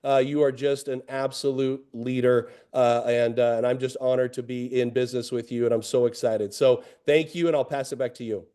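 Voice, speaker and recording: A man giving an excited, motivational address to a sales team, his voice hoarse from talking, repeating phrases and using many fillers. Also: medium pitch, brisk, hardly any pauses. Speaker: company executive.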